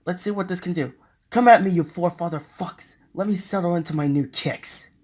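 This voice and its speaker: A man's voice: high voice, fairly quick, background noise, close to an everyday voice. A man playing a cocky, youthful immortal demon fighter in an amateur audio drama, with a wry, swaggering delivery. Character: cocky centuries-old male demon fighter who looks twenty-one.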